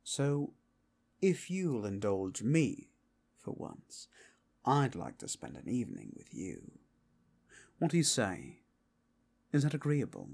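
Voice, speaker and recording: A man's voice: medium pitch, wildly swooping pitch, slow, gravelly, long pauses, darkish tone, breathy edge, heavily acted. Man playing a suave, lilting, teasing ageless vampire. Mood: seductive. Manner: theatrical, old-fashioned, amused, playful. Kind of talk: fantasy audio roleplay acting.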